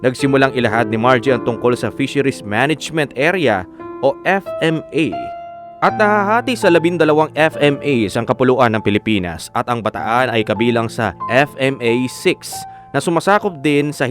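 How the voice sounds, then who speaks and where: A man's voice: medium pitch, animated, moderate pace, fairly bright tone, close to an everyday voice. An actor playing a smitten man who narrates his own story in a radio drama, with guitar music behind him. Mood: earnest. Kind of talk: scripted first-person radio drama narration. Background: plucked guitar music.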